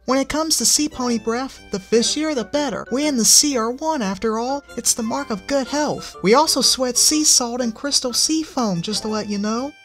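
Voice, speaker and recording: Give me a bubbly, giddy young seapony girl from a storybook, pitched well up into a high register and bouncing with excitement, as a reader puts her on. Light music plays faintly behind the voice. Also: normal speed, hardly any pauses, close to an everyday voice.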